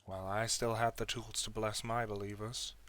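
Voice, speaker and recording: A man's voice: on the low side, little pitch movement, fairly bright tone, close to an everyday voice. A man playing an ancient god in an audio drama, a bitter, weary brother who speaks formally and solemnly without remorse. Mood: cold, reproachful. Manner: formal archaic diction, grave, deliberate. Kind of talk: dramatic audio roleplay acting.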